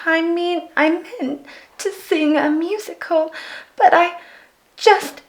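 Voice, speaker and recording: A shy young storybook mermaid, voiced by a woman, speaks in a very high, nervous voice. She falters and halts as she goes, slowly getting her words out. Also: exaggerated voice. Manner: halting, faltering. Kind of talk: children's picture book read aloud.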